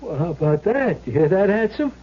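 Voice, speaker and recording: An actor playing a soft-hearted, naive would-be actor in a seventies radio drama, stammering and incredulous. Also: male voice, sits high, big pitch swings, fairly quick, slight background noise. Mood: amazed. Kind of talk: 1970s radio drama acting. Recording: dull lo-fi old radio broadcast.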